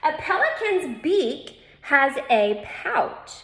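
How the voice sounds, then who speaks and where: Slow, high-voiced woman reading to children. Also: very animated, a bit bright. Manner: instructive, addresses young listeners directly. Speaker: elementary teacher reading aloud to children. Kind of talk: children's read-aloud with informal asides. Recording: roomy, echoing indoor sound.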